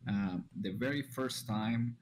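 A man's voice: mid-range voice, slow pace, soft background music. A young man from Colombia, a native Spanish speaker, appears as a guest on a video call and talks hesitantly, often starting with "um", into a headset and studio mic at home. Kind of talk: casual video call chat. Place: small room at home. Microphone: headset with large studio mic.

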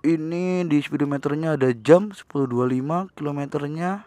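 A young man chatting excitedly in a casual riding vlog, recorded on a helmet camera while on a motorbike in the city. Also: on the high side, normal speed, no echo. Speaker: motovlogger. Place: on a motorbike on city streets, outdoors. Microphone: helmet-mounted action camera. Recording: loud wind and traffic noise.

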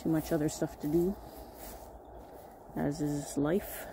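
A woman's voice: sits low, flat delivery, slow pace. A middle-aged Canadian woman whose first language is Quebec French, chatting casually to the camera as a vlogger outdoors. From Quebec, Canada, raised partly in Ontario. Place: outdoors in a field, yard and open shelter. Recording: outdoor ambience, clear background noise.

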